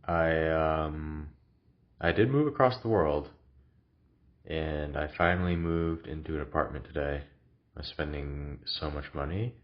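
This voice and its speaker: Very deep-voiced man, rambling vlog. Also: measured pace, dull audio. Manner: hesitant, rambling, many fillers. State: has a cough. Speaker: vlogger. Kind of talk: casual reflective vlog. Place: new apartment.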